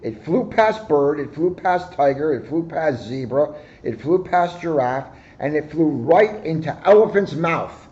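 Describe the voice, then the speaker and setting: A man reads a picture book to children at a slow, deliberate pace. His voice is mellow and a little high, with expressive storytelling. The recording sounds slightly dull. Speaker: guest story reader, police officer.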